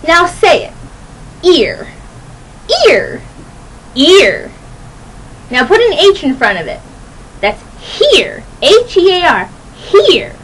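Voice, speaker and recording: A young woman hosting a radio show, rambling casually to listeners in a chatty, playful, animated and giggly way. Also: extremely high pitch, slow pace, pauses often, a little hiss or hum, low-quality recording. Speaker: radio host.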